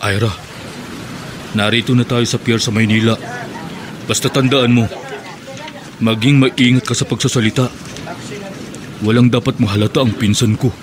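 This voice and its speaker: A man's voice: mid-range voice, fairly even intonation, normal speed, pauses often, close to an everyday voice. An actor playing a secretive, hardened militant man who speaks insistently in a Filipino radio drama. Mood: guarded. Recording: noisy scene with rain-like ambience.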